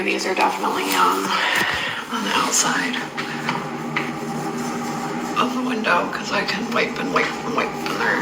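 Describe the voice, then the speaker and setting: Woman on a phone clip, gravelly, echoey room, loud noise behind her. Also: mid-range voice. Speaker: person filming her own window.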